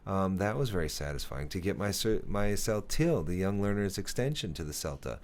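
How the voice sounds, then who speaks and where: A man with a lowish voice hosts a casual podcast. He talks earnestly and rambles, restarting and correcting himself as he works through his thoughts. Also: lively intonation, fairly quick, a little mellow. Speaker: podcast host.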